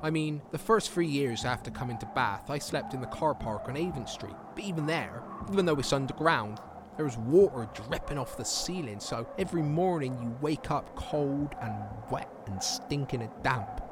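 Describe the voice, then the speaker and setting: A man's voice: mid-range voice, expressive, moderate pace, over music, close to an everyday voice. An actor playing a bitter, plain-talking young homeless man in a naturalistic audio drama monologue. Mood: bitter, resigned. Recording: clear outdoor traffic and street noise.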